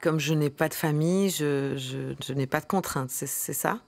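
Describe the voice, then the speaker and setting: Timid employee, low husky voice. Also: female voice, normal speed. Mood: timid, puzzled. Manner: hesitant, stammering, deferential. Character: timid, deferential single female employee. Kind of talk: satirical radio drama acting.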